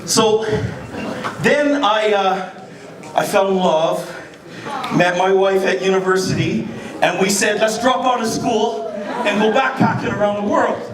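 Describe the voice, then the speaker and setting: A middle-aged Canadian man with a high voice tells a story on stage in a loose, slangy, animated way. He is heard in an echoey space with an audience crowd around him. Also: unhurried, long pauses, distant mic. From Canada, near Detroit on the Canadian side. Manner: animated, slangy, informal, acts out dialogue. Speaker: b-boy storyteller. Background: audience crowd and laughter.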